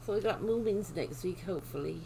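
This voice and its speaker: A British woman chatting casually as she livestreams a game, unhurried and half-absorbed, her voice slightly going. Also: lowish voice, vocal fry, warm tone, a little hiss or hum, low-quality recording. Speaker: livestream host. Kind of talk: casual livestream chat while gaming. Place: indoor room at home.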